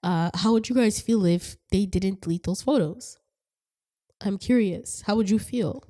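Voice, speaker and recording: A middle-aged woman hosting a podcast in casual chat, her voice raspy and hoarse from a cold, talking in a rambling, chatty way and laughing often. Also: medium pitch, unhurried, warm tone. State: hoarse from a cold, stuffy nose. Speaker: podcast host.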